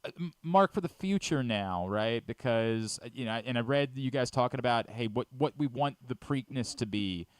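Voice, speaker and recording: A man's voice: medium pitch, animated, normal speed, few pauses, clear, resonant tone, no room sound. A man hosting a sports talk radio show, asking wordy, conversational questions.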